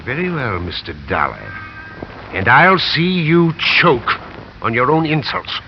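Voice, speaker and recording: A man's voice: medium pitch, huge pitch swings, measured pace. An actor playing a haughty, indignant older businessman in 1950s radio drama, pompous and formal. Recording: muffled, noisy vintage radio recording.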